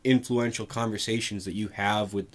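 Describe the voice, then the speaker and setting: A man co-hosting a casual discussion, reasoning out loud in an informal way and leaning on "like". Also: lowish voice, fairly even intonation, brisk. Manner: informal, frequent "like" while reasoning. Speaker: co-host. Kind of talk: casual discussion of films and games.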